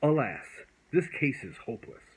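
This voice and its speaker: A man's voice: medium pitch, very animated, normal speed, voice pushed up, close to an everyday voice, poor audio. A man voicing a grim, pompous learned doctor in a storybook, speaking formally and with emphasis. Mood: grim, final.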